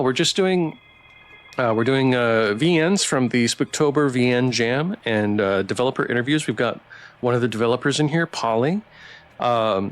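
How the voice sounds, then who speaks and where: Male game streamer over background music. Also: mid-range voice. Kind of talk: casual live-stream chat mixed with reading visual novel narration aloud.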